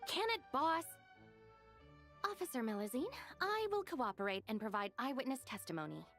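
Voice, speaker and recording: A woman's voice: high voice, sing-song, normal speed, a bit bright, exaggerated voice. A woman playing a level-headed, responsible young gang deputy in a video game, dry and matter-of-fact. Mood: exasperated. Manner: matter-of-fact, exasperated, composed. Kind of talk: video game character acting. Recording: game music behind.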